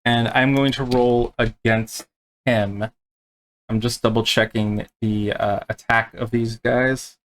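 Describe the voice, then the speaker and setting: A man acting as game master, explaining rules and narrating tabletop play in a halting, unscripted way. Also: medium pitch, level tone, a little hiss or hum.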